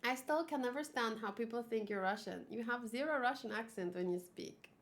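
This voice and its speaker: A woman's voice: on the high side, fairly quick. A woman whose first language is Afrikaans, chatting casually in a rambling, giggly way and often correcting herself as she hosts a manga read-along.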